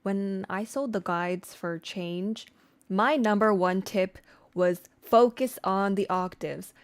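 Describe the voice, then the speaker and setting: A woman with a mid-pitched voice talks casually as she teaches singing technique on a livestream. She is informal and slangy, explaining things step by step and chatting with her viewers along the way. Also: slow. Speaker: streamer and singer teaching vocal layering. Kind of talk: casual livestream tutorial with chat replies.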